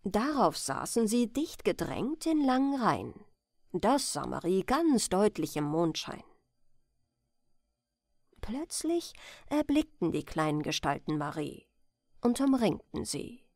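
A woman storyteller reading a bedtime fairy tale as scripted narration, with expressive, careful phrasing. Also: mid-range voice, slow, no echo, clean studio sound.

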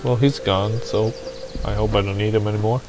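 A man with a fairly deep voice commentates on a video game. He thinks out loud casually, with a musing, self-deprecating manner. Music plays clearly behind him. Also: fairly even intonation, fairly quick. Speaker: gamer, let's play host.

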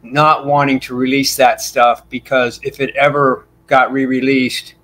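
A man in his sixties, a bassist guest telling long rambling stories in a casual interview over a video call from a small home room. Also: level tone, unhurried, sharp tone. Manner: talkative, rambling storyteller. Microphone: video call with desk mic.

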